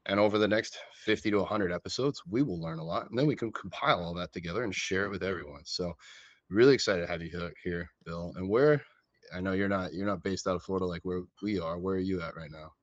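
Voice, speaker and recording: Deep-voiced male podcast host. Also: fast talker, no echo. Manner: steers the talk, upbeat. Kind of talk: casual podcast interview. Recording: dull dry lo-fi sound.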